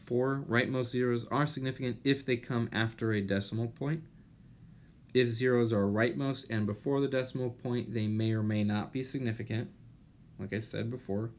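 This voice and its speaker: A man in his thirties giving an explanatory lecture as an instructor, deliberate and pausing, with rhetorical questions. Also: medium pitch. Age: 30s. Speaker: chemistry instructor. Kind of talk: explanatory lecture over slides. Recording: noticeable steady background noise.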